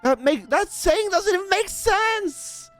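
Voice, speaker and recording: A man's voice: very high-pitched, lively intonation, slow, faint music behind. A man giving casual, blunt, slangy gameplay commentary and talking back to the characters, close on a streaming mic. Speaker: game streamer.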